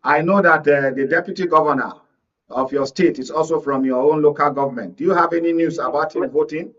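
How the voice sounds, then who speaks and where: A man's voice: fairly high pitch, a little fast, fairly bright tone. A man with a Nigerian accent speaking as an analyst on a live panel. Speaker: studio analyst. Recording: dull, distant microphone.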